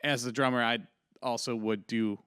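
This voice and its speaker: Smooth-voiced man hosting a podcast. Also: medium pitch, fairly even intonation, moderate pace, a bit bright, dry recording, clean studio sound. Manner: easygoing, agreeable, laughs often. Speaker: podcast host. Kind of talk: casual chat.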